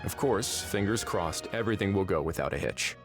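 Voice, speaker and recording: A man voicing an affable, easygoing male official in a video game, relaxed and lightly wry. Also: sits low, a little fast. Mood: easygoing, measured. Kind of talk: video game dialogue acting. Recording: game music behind the voice. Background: background music.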